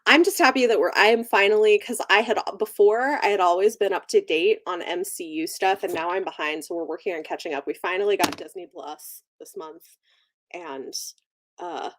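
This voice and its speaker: A woman's voice: lowish voice, normal speed. A woman chatting casually and informally as a livestream host, enthusiastic about what she likes. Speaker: book channel livestream host. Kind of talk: casual chat.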